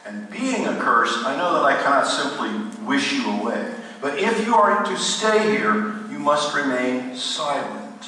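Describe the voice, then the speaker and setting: An actor playing the ghost of a solemn, morbid storyteller, narrating a radio play in formal, grandiloquent phrases with deliberate dramatic pauses. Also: male voice, a little high, lively intonation, pushed and bright. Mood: indignant. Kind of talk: theatrical radio play narration to a live listener. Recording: distant echoey hall, faint noise.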